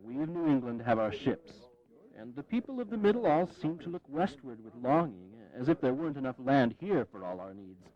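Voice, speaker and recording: A man performs an eloquent, impatient New England congressman from a historical audio drama. He declaims with exasperation and grumbles indignantly at a middling pitch. The recording is slightly dull and dated. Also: big pitch swings, brisk, close to an everyday voice. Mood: exasperated.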